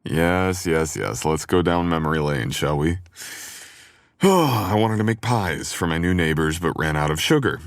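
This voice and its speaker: Very deep-voiced, teasing boyfriend played by a man. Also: dry recording, studio quality. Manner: playful, teasing, intimate. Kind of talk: boyfriend ASMR roleplay audio acting.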